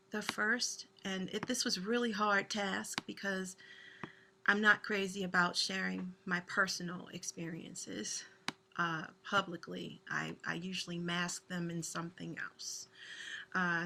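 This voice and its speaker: Woman poet and host on a video call, soothing and bright, gentle and sincere. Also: mid-range voice, slight background noise. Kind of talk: hosting an online poetry event and reciting spoken word.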